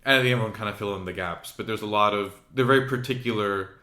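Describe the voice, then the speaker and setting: A man with a low, bright voice talks through his experiences in a casual podcast chat. He is candid and reflective, and he quotes what others told him as he goes. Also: fast, echoey room. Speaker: podcast host.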